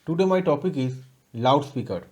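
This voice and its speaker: A man's voice: fairly high pitch, expressive, normal speed, darkish tone. A man with an Indian accent giving a formal, scripted technical lesson as a lecturer, in a recited textbook manner. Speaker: lecturer presenting an electronics lesson. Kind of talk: scripted educational presentation.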